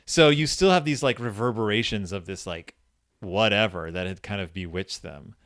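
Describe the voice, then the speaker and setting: A man hosting a casual book discussion, informal and colloquial, retelling and quoting a story in an animated way. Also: on the low side, moderate pace, no echo, clean studio sound. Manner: animated, acts out quoted dialogue. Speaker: podcast host.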